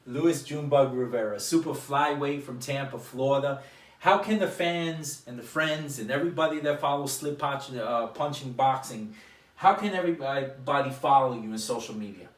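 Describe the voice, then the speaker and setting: A man hosts a casual boxing show, setting up his questions piece by piece in short phrases. His voice is mid-pitched and comes from a little distance in a strongly echoing room. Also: normal speed. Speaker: boxing show host and interviewer. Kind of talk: casual sports interview. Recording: echoey room, distant mic.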